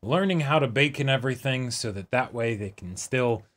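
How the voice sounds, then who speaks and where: A man's voice: mid-range voice, expressive, moderate pace, a bit bright. A man co-hosting a reaction show in casual, conversational chat.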